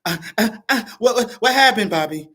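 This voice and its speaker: Man playing a high, stammering Dominican Bronx guy, lively and loud. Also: normal speed, slightly rough, heavily acted. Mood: flustered.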